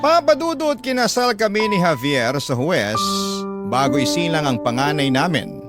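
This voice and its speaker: A man's voice: on the high side, big pitch swings, normal speed. A man reading a scripted first-person story as the narrator of a radio drama. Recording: loud music behind.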